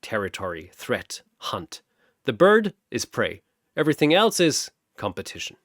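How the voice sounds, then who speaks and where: A man's voice: medium pitch, wildly swooping pitch, a little slow, a bit bright, dry recording. Man narrating a dramatic battle story, dry sound. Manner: theatrical, punchy.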